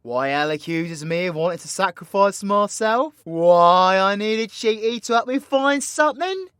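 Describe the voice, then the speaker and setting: A man's voice: high voice, lively intonation, a little slow, fairly bright tone, clean tone, dry recording, studio quality. A British man playing a cocky, foul-mouthed, vengeful supervillain magician in an audio roleplay, with slangy, theatrical, teasing banter. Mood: dramatic build-up. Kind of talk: ASMR-style audio roleplay, addressing an unheard companion.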